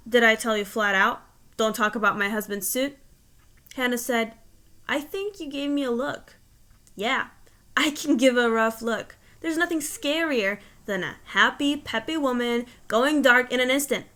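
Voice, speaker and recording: A woman reading a humorous personal essay aloud in an expressive, emphatic, mock-stern way. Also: a little high, a little slow.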